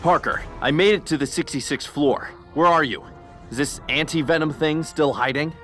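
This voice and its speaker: A man's voice: fairly high pitch, normal speed, fairly bright tone, close to an everyday voice. A man voicing a young, sarcastic, impatient futuristic superhero in a video game fandub. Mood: tense, exasperated. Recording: game music behind the voice.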